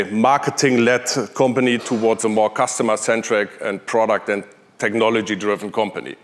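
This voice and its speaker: A man in his forties with a German accent, giving a conference keynote on a large hall stage through a headset mic, chatty with many fillers and restarts. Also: medium pitch. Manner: conversational, with frequent fillers and restarts. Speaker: conference speaker, tech executive.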